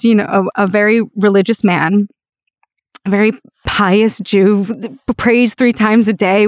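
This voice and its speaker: An American woman with a raspy voice, telling personal stories emphatically and conversationally as an interview guest. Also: medium pitch, no echo. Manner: emphatic, peppers talk with "like" and "you know". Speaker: interview guest, actor and voice-over artist.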